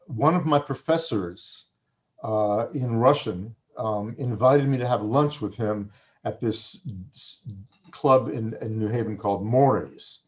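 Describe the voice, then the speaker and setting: A man whose first language was Farsi, an author being interviewed, speaking thoughtfully with frequent pauses and restarts. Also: mid-range voice. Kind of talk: reflective conversational interview.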